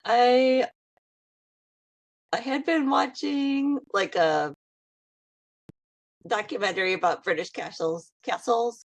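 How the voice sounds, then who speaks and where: A woman's voice: fairly high pitch, takes it slowly, pauses often, dry recording. An American woman interviewing a band over a video call in an easygoing, giggly way. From United States.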